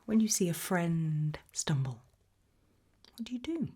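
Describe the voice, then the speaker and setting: A British woman whispering an ASMR roleplay as a creepy, probing examiner, asking questions slowly and deliberately with quiet playfulness. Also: fairly low pitch, very animated, breathy edge. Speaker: ASMR roleplayer playing an interviewer.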